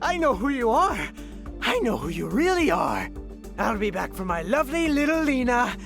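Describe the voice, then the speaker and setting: A man's voice: very high-pitched, sing-song, normal speed, gravelly, over music, full character voice. A man playing a masked sadistic serial killer in an audio drama, cowardly, foul-mouthed and theatrically menacing. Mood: gloating.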